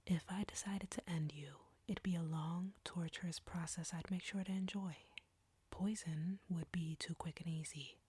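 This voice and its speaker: Female vampire captor, acted by a woman, audio roleplay: low, close and dry, whispery, slow, smug and flirtatious. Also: fairly even intonation, darkish tone, dry recording. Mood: smug teasing. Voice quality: breathy, whispery. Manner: slow, taunting, condescending.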